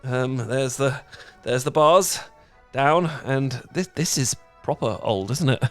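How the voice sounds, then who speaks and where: A man's voice: mid-range voice, soft background music, lightly acted. Man playing a stammering, awkward British dork. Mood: nervous, polite. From Britain. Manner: stammering, halting, rambling, apologetic. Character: awkward, flustered, dorky young British man on a first meeting. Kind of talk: intimate romantic ASMR audio roleplay.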